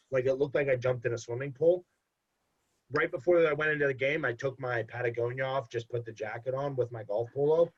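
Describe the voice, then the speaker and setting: A young man in casual podcast talk, telling a long story with animated repeats for emphasis. His voice sounds congested. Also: mid-range voice. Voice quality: husky, congested. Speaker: podcast guest. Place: home. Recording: dull lo-fi podcast audio.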